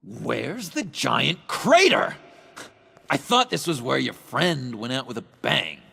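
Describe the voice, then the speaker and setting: A man playing an eccentric, needy, unstable sidekick to villains in a video game, theatrical and oddly earnest, with a raspy, creaky voice. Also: medium pitch, big pitch swings, slow, pushed and bright, big-room sound, heavily acted. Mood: fretful. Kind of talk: dramatic video game acting.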